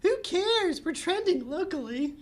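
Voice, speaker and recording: Casting: man as sassy, airheaded young superhero sister, high falsetto, very swoopy delivery, comedy table read. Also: very animated, measured pace, heavily acted. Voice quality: exaggerated falsetto. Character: ditzy, sassy young woman superhero sister, internet-trend obsessed.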